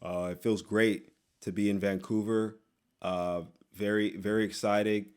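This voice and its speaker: A young man from Texas reads viewer comments aloud and answers them in a loose, joking way. His voice sits a little low, and he tosses in quick sarcastic asides. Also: flat delivery, clean tone. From Texas, USA. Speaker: YouTuber host. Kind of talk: casual Q&A reading comments.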